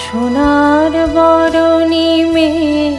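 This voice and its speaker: A woman singer slowly singing an old melodic song with keyboard accompaniment. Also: extremely high pitch, steady tone, over loud music. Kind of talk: slow melodic singing of old Bengali modern songs.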